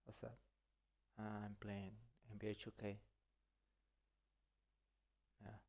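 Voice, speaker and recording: An Argentine man chats casually with fellow players while he games, stumbling and repeating his words. His voice sits low and gravelly with a mellow, dark tone. He barely moves his pitch. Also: flat delivery, slow pace. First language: Spanish. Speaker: gamer streaming. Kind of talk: casual chat with online players.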